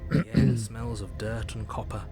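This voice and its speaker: A grim narrator from a dark horror game, voiced by a man, telling the scene in a measured, ominous way with a lowish voice. clear game music runs under him. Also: natural voice. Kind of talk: video game voice acting.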